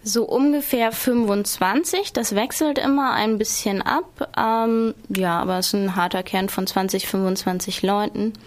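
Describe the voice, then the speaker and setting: A woman's voice: medium pitch, moderate pace, few pauses. A woman speaking as a radio interview guest, explaining things in a structured, matter-of-fact way. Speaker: film club member, interview guest. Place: radio studio.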